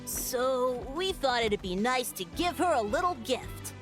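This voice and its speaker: Chatty, lively-pitched young child. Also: female voice, measured pace, soft background music. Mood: matter-of-fact. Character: matter-of-fact young child in a fantasy game.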